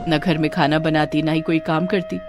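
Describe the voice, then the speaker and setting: A woman's voice: low-pitched, little pitch movement, moderate pace, over music. A woman giving a scripted narration of a moral story.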